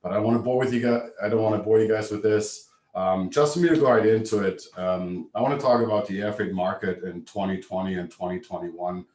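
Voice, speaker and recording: A man with a German accent, giving a brisk, matter-of-fact business presentation on a video call. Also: lowish voice, fairly even intonation, darkish tone. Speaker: webinar presenter. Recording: echoey room, distant mic.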